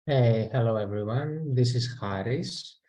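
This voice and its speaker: A man from Greece, introducing himself in a measured way, pausing with fillers. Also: medium pitch, dark tone. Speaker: seminar participant.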